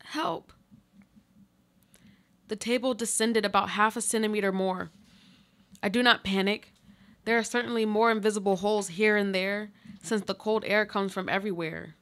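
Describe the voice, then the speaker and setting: A woman acting in a live radio play as a trapped woman stubbornly clinging to life, speaking in solemn, deliberate monologue. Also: mid-range voice, fairly even intonation, fairly bright tone, natural voice. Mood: stoic, hopeful.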